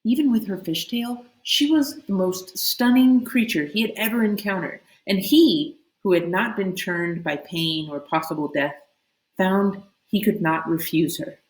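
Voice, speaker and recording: A woman reading a story aloud as an expressive narrator, with deliberate, dramatic emphasis. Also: medium pitch. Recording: echoey room.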